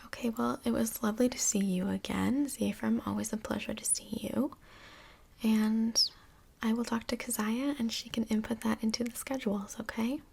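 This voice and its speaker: Woman as kindly, tactful female couples' counselor for ASMR role play: whispery, breathy, slightly high, hesitant and reassuring. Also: fairly even intonation, normal speed. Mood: reassuring, hopeful. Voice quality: breathy, creaky soft voice.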